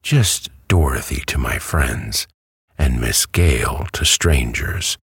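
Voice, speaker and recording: A man's voice: a bass voice, expressive, takes it slowly, fairly bright tone, breathy edge, no echo, studio quality, heavily acted. A man reading a storybook aloud who voices a polite, earnest little girl. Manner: earnest, well-mannered, eager.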